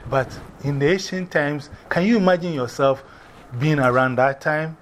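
Ghanaian male teacher, animated classroom delivery, high pitch, faint classroom ambience. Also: normal speed, a little hiss or hum. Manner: lively, repeats and spells out words, laughs often.

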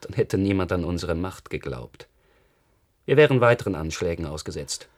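Really deep cold leader type, threatening, clipped orders, no emotion, radio play. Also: male voice, lively intonation, moderate pace. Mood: cold, imperious. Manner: terse, commanding, unemotional. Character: cold, threatening male leader of a powerful faction. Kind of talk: German radio drama acting.

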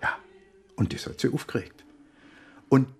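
An actor playing an easygoing, wry provincial police inspector with a southern German accent in a radio drama. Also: male voice, a little mellow, natural voice. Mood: musing. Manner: musing, wry, halting.

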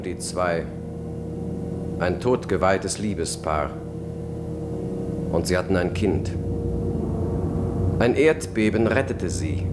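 A man narrating a 1950s radio drama solemnly, in measured, weighty short phrases. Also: low-pitched, very noisy. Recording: loud music behind the voice. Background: music.

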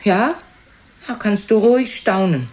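A woman's voice: medium pitch, animated, unhurried, a little mellow, lightly acted. An actress playing a proud, reproachful elderly mother in a radio play, scolding and accusing in a dramatic manner. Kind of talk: German radio play acting.

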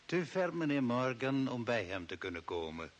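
A man's voice: medium pitch, sing-song, normal speed, muffled recording, close to an everyday voice. An actor playing a cold, authoritarian old man from Earth who serves the Martians in an older Dutch radio play, commanding and scornful. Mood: cold, firm. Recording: hissy, noisy old radio recording.

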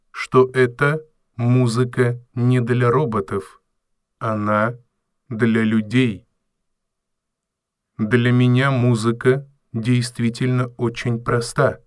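Guy doing a calm robot butler in a radio play, slow n formal, no emotion, mid voice, super dry sound. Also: mid-range voice, clean tone, no room sound, natural voice. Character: polite, measured robot servant with unshakable calm logic. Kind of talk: audio drama acting.

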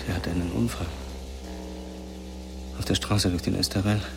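An actor playing a shaken, grieving middle-aged father in a radio play, speaking in halting, restrained phrases. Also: low voice, steady tone, vocal fry, heavy background noise. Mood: stunned. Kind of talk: naturalistic radio play acting.

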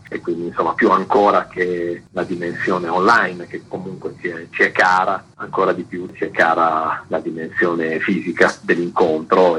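Deep-voiced man with a husky, bright edge. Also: poor audio. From Italy. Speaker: novelist interviewed on radio. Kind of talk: measured interview talk.

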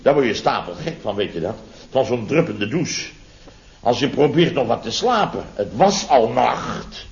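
Flustered contractor boss, old radio comedy, stammers n exclaims, voice swings all over, dull sound. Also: male voice, mid-range voice, measured pace, vocal fry, slight background noise. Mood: indignant. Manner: excitable, stammering, repeats himself, exclaims. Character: flustered, blustering married building contractor boss. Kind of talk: radio comedy serial acting. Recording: muffled vintage radio recording.

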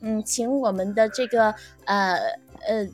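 A woman's voice: mid-range voice, fairly even intonation, measured pace, a little mellow, faint music behind. A woman hosting a livestream game segment in a casual, chatty way. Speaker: host of an audio drama fan livestream.

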